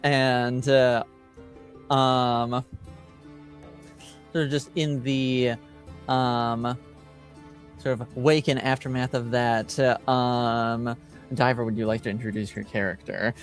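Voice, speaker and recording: A man working as game master, narrating an improvised tabletop game in a halting, drawn-out way. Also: mid-range voice, flat delivery. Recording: music playing behind the voice.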